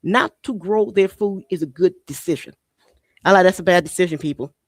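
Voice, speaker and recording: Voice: medium pitch, fairly quick, no echo, studio quality. A podcast host in their forties, originally from Haiti, delivering an impassioned monologue full of rhetorical questions and repeated phrases.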